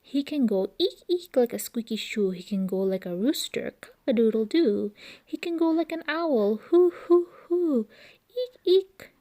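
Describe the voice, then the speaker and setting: Female children's storyteller: slow delivery, warm relaxed timbre, playful and expressive with noise words. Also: a little high. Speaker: children's book reader. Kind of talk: read-aloud storytelling for young children.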